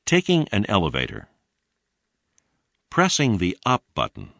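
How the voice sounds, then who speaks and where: Low male voice, slow deliberate read-along narration, lively intonation, dry recording. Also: no room sound. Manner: deliberate, clearly enunciated teaching delivery. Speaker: narrator of an English lesson.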